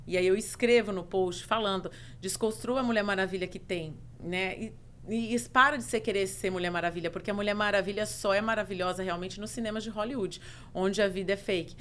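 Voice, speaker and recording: A middle-aged woman with a Brazilian Portuguese accent, speaking at an ordinary mid pitch. She tells her own story in a casual podcast chat, expressive and emphatic, stringing points together in rhythmic lists. A faint room ambience sits under the voice. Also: a little fast, a little hiss or hum. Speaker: podcast guest, mentor.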